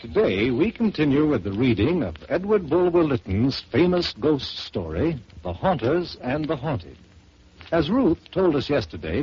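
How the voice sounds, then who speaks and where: A man hosting an old radio storytelling show, reading a ghost story aloud in a measured, theatrical way. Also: medium pitch, slightly rough. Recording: muffled old radio broadcast with hiss.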